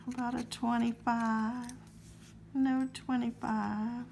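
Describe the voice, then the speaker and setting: Woman vlogger, high voice, unhurried, a bit hoarse, reads numbers aloud. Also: slight background noise. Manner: friendly, calmly reads out numbers. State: slightly scratchy throat. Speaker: lottery ticket scratching vlogger. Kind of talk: casual to-camera chat while scratching tickets.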